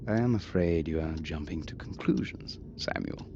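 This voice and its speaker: An actor playing a formal, measured and aloof lord of an old castle in a video game. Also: male voice, a bass voice, whispered. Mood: dismissive, guarded. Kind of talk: scripted video game voice acting. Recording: muffled, very noisy game audio.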